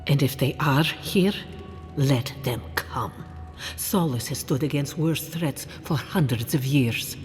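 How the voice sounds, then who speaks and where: A stern, proud fantasy game councillor, voiced by a man, mid-pitched and imperious. He challenges formally in a long hall reverb, with faint music behind. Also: slow pace, lightly acted. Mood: proud, defiant. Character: proud, stern, suspicious council leader guarding a sacred hold. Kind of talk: dramatic fantasy game acting.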